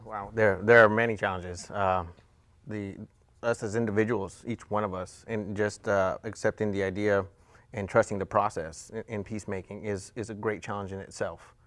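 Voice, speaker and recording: A man answering as a panel guest in an interview, speaking haltingly as he searches for words. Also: lowish voice, steady tone, fairly bright tone, no echo. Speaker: panel guest, juvenile justice volunteer.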